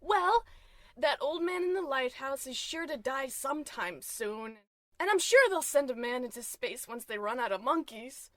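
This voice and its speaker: Actress as long-suffering wife, old radio comedy, high voice, consoling yet tart, dry close recording. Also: female voice, animated, moderate pace, no room sound. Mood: hopeful.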